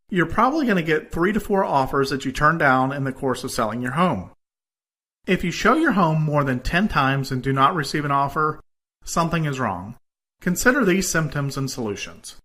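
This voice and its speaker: A man with a fairly high, very bright and forward voice delivers scripted instructional narration. He speaks deliberately, in measured phrases, putting clear stress on the words that introduce each new point. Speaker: instructor in a home-selling course.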